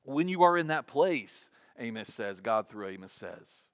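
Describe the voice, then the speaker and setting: A man's voice: mid-range voice, sing-song, a little slow. A man in his fifties delivers a sermon in a conversational preaching style. He often restarts his phrases by repeating words before he carries on. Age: 50s. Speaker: pastor.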